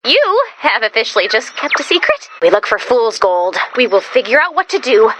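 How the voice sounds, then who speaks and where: A woman voicing a perky, brainy young female robot assistant in a video game, with an excitable, earnest, quick-witted delivery. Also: a little high, slight background noise. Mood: earnest, bantering. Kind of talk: animated video game voice acting.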